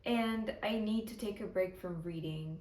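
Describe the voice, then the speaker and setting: A woman's voice: medium pitch, a little slow, darkish tone. A young woman whose first language is Ukrainian, chatting casually about the books she is reading, thinking aloud with restarts. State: tired. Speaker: book vlogger. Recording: roomy, far from the mic, faint background ambience.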